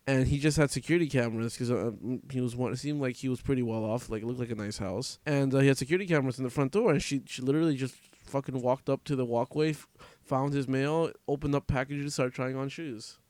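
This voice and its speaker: A man's voice: mid-range voice, steady tone, quick pace, hardly any pauses, a little mellow, no room sound. A man chatting informally as a podcast co-host, retelling stories in loose, sweary everyday language. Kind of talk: casual chat, retelling a news story.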